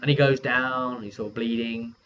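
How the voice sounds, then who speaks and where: A man's voice: mid-range voice, level tone, normal speed, a bit bright. A man casually recounting a tabletop role-playing session as game master, in a rambling way. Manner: rambling, acts out quoted dialogue informally. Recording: dull lo-fi audio with background noise.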